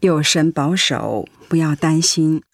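An actress playing a weary, devout middle-aged pastor's wife in a radio drama, ailing but calm and accepting. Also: female voice, low-pitched, slow pace, full character voice. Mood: tired, gentle. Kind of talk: Mandarin radio drama acting.

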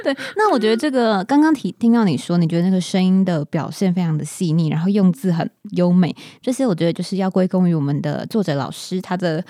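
A woman's voice: on the low side, fast, hardly any pauses, dark tone, dry recording, studio quality. A woman with a Taiwanese Mandarin accent hosting a podcast in a casual, chatty conversational way. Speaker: podcast host.

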